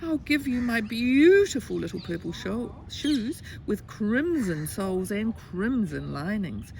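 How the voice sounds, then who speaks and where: A woman's voice: medium pitch, big pitch swings, unhurried, creaky, darkish tone, a little hiss or hum, pitched above the performer's own voice. A woman voicing a frightened, polite little boy who pleads his way through a storybook tale. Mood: pleading.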